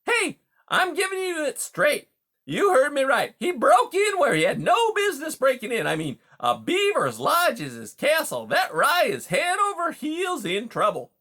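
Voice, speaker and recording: Loud, high-pitched, pushy beaver. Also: huge pitch swings, normal speed, exaggerated voice. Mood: blustering. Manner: brash, folksy, talking over others. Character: huge male beaver, pushy cliché-spouting smooth-talking bully. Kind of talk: audiobook character dialogue.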